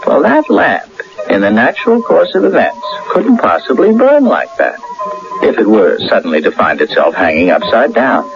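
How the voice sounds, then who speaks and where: An actor playing an earnest, excitable and stubborn ordinary man in an emphatic, theatrical radio drama style. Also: fairly low pitch, normal speed, creaky, music in the background. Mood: emphatic, insistent. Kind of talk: 1980 American radio drama acting. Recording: dull lo-fi old radio broadcast.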